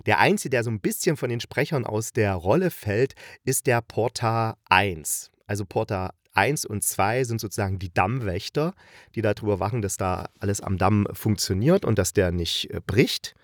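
A man's voice: on the low side, normal speed, no room sound, clean studio sound. A man hosting a casual review podcast, talking in an enthusiastic, colloquial and rambling way. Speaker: podcast host reviewing radio plays. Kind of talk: casual chatty review monologue.

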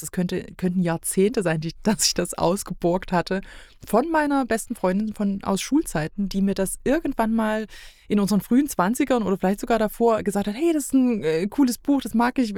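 A woman's voice: mid-range voice, darkish tone, no room sound, clean studio sound. A woman chatting casually as a podcast guest, telling things in a conversational, anecdotal way.

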